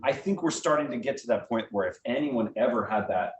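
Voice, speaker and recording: A man's voice: lively intonation, brisk, lo-fi sound. Male, theater director at an informal Q&A. Enthusiastic and conversational, distant mic in an echoey room. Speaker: guest speaker, theater artistic director. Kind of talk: informal question-and-answer talk to a group. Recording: roomy, distant mic, faint rumbling noise.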